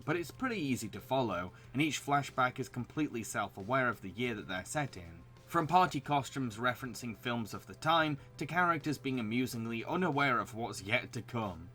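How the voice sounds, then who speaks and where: Guy doing a scripted video review voiceover, bright forward voice, soft music under it. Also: medium pitch. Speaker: video essay narrator and reviewer. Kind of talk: scripted narration.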